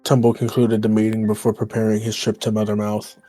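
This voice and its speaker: A man's voice: lowish voice, completely flat delivery, a little fast, mellow, faint music behind. A man narrating a story in an online roleplay game, in a storytelling style.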